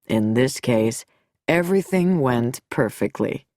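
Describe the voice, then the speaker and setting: A woman voicing a smooth, coolly amused middle-aged male doctor who speaks in a measured, quietly mocking way. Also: very deep voice, animated, no echo, studio quality, voice pushed down. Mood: suave, amused.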